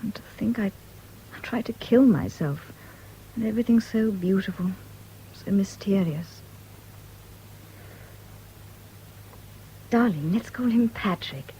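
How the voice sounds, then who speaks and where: A woman's voice: medium pitch, animated, moderate pace, dark tone, a little hiss or hum, natural voice, rough recording. A British woman acting an earnest, romantic, anxious young wife in a radio drama, tender and naive in manner. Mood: dreamy. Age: young adult.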